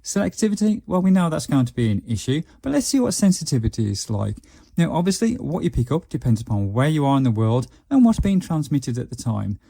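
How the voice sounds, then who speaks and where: A man's voice: fairly high pitch, very animated, a little fast, vocal fry, warm tone. An English man giving a casual hands-on product review, chatting through each step as he goes. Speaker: reviewer of budget radios. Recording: noisy camera microphone, faint hiss.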